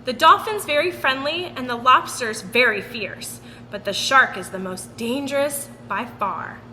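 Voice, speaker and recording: Lady doing storytime for kids, really lively up and down voice, reverby room w some noise. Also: high voice, a little slow. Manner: animated, sing-song storytelling. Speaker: children's storytime reader. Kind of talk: expressive picture-book read-aloud for children. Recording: roomy long reverb with background noise.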